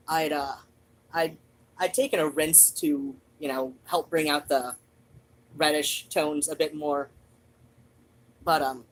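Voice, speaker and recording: Casting: woman with a low voice for loose, animated, off-the-cuff host chat, sweary and wandering. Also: poor audio. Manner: animated, digressive, swears freely. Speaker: host of a reading and chat show. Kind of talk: rambling casual personal chat.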